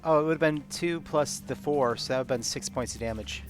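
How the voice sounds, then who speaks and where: A man's voice: medium pitch, fairly quick. A man playing in a live tabletop roleplaying game, talking through his moves in casual banter. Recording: music behind the voice. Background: background music.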